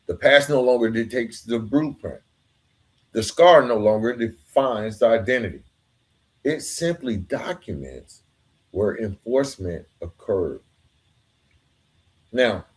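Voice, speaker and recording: A man with a fairly low voice speaks as a motivational podcast host. He delivers prepared lines deliberately and with emphasis, addressing the listener directly. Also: darkish tone. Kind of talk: scripted motivational monologue.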